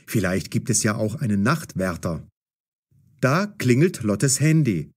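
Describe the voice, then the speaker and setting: A man narrating a scripted story with clear enunciation. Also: lowish voice, sing-song, a little slow.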